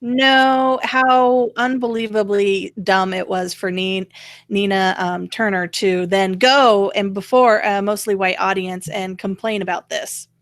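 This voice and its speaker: A woman's voice: mid-range voice, steady tone, a little slow, no echo. Blunt, sarcastic woman in dry, close sound. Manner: blunt, sarcastic, mildly profane. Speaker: talk show host. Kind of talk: casual political rant.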